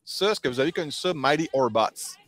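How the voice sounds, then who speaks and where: A man's voice: a little high, animated, a little fast, over a noisy crowd. A man with a Quebec French accent hosting a casual group chat, explaining things in colloquial language. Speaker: host.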